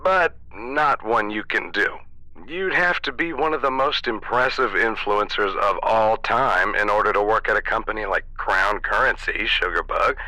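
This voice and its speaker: A man's voice: lowish voice, moderate pace, pushed and bright, dry recording, low-quality recording. An actor playing a pushy, condescending middle-aged father who lectures his grown child in an audio drama. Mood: dismissive. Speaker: protagonist's dad.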